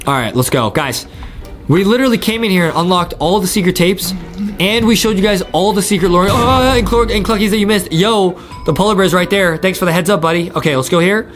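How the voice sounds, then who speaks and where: A man's voice: high voice, brisk, fairly bright tone, soft background music. A young American man hosting gameplay commentary in a hyped, exclamatory, slangy way. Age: young adult. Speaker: gaming YouTube host.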